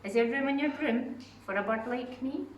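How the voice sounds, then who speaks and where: A woman's voice: high voice, moderate pace, far from the mic, pitched above the performer's own voice, close to an everyday voice. Woman playing female bird, polite, keen, rhyming storybook, roomy, faint noise. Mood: hopeful.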